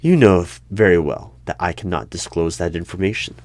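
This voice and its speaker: A man in an ASMR roleplay as the stern hiring manager of a secret bureau, with deadpan questioning that breaks into sudden loud bursts and whispers. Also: deep voice, a little fast. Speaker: interviewer in a roleplay.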